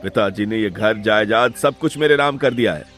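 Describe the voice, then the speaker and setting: A man voicing a stern, commanding and scheming older brother in an animated moral story. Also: lowish voice, normal speed, faint music behind, close to an everyday voice. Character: domineering, scheming older brother turned cruel master.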